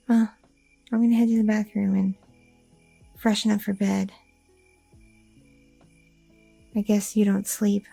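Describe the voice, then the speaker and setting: A woman playing a breathy, anxious goth girlfriend. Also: medium pitch, dry recording, voice pushed down, full character voice, rough recording. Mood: sleepy, affectionate. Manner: soft, affectionate, a little hesitant, with fillers. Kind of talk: ASMR audio roleplay.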